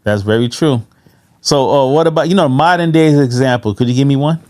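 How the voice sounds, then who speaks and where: A man's voice: medium pitch, fairly quick, darkish tone. A man co-hosting a casual podcast conversation, putting questions and agreeing briefly. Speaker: podcast co-host asking questions.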